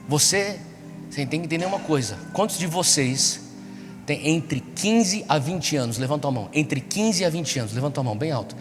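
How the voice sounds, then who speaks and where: A Brazilian man preaching to a young congregation, exhorting them with repeated rhetorical questions. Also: on the high side. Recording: echoey large hall, music behind. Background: music.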